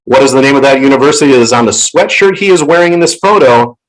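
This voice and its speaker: A man with a loud voice hosting a casual live stream in a playful, showman-like way, close to the microphone. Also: medium pitch, quick pace, low-quality recording. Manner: playful showman, theatrical announcing. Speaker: show host. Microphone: close professional microphone on an online call.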